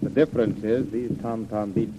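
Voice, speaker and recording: Actor as low-voiced wary army major. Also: male voice, steady tone, normal speed, loud music behind the voice, close to an everyday voice. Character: grave, wary male major on a secret mission. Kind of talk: 1930s radio adventure serial acting. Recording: noisy old radio recording.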